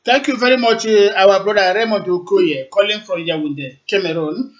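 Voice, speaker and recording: A man's voice: high-pitched, normal speed. A man hosting a live call-in radio stream, politely taking callers and switching between Igbo and English. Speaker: radio host. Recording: muffled lo-fi stream.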